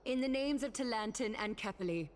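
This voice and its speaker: A woman voicing a solemn, authoritative judge who presides over a tribunal in a video game, speaking in a formal, measured, ceremonial way. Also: on the high side, animated, lightly acted. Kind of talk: formal dramatic game voice acting.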